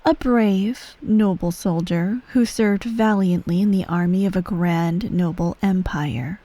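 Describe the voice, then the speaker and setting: A woman playing a menacing, coolly doting empress mother in soft, breathy ASMR roleplay, measured and formal, often near a whisper. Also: lowish voice, dry recording. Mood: hushed storytelling. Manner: measured, formal, veiled threats, breathy sighs.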